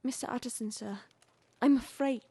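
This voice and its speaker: Woman acting anxious loyal maidservant, fairly high voice, deferential, urgent, jittery, radio play, dry recording. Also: normal speed, dark tone, slightly rough, no echo. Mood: frightened. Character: frightened, loyal female servant, fierce when pressed.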